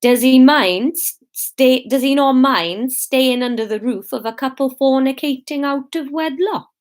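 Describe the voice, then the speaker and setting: Woman putting on a booming, indignant pompous man. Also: unhurried. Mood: booming, accusing. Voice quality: trembling vibrato. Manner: booming, barking, over-enunciated.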